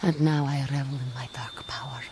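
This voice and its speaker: A woman's voice: a bass voice, fairly even intonation, brisk, background noise. A woman playing a proud, bitter fallen Jedi tormented by guilt, with intense, theatrical and formal delivery in a video game. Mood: menacing, proud. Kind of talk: dramatic video game voice acting.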